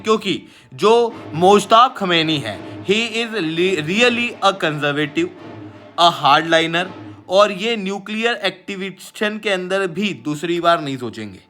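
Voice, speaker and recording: An Indian man lectures in the style of an online exam-prep teacher. His voice sits quite high and has a bright, forceful edge. He explains emphatically and slips English phrases into his explanations. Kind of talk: explanatory current-affairs lecture to camera.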